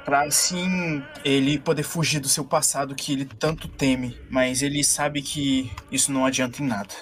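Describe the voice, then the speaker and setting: A man playing a tabletop game, improvising dramatic narration about his character in a halting way. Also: medium pitch, steady tone, faint music behind.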